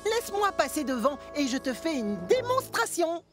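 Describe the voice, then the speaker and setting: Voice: high-pitched, big pitch swings, moderate pace, music in the background. A performer voicing an eager, cheeky young male steam engine in a children's cartoon dub, with an exclamatory delivery. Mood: friendly, confident.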